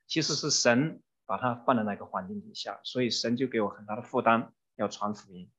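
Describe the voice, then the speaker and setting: A man's voice: medium pitch, brisk, fairly bright tone, slightly rough. A man interpreting a sermon phrase by phrase, keeping pace with the preacher and using some fillers. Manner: renders each phrase promptly, with some fillers. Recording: dull muffled recording.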